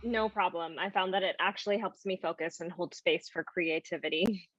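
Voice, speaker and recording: A woman's voice: on the low side, steady tone, normal speed, fairly bright tone. A woman playing a polite, eager actress auditioning in an online play, agreeable and natural in delivery. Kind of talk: naturalistic dialogue in an online one-act play. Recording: dull, boxy video-call audio.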